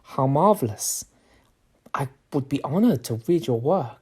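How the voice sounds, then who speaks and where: An actor playing a courteous, bookish professor in an audio drama, speaking formal, slightly unidiomatic English. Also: male voice, mid-range voice, expressive, unhurried, warm tone, husky. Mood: warm, earnest.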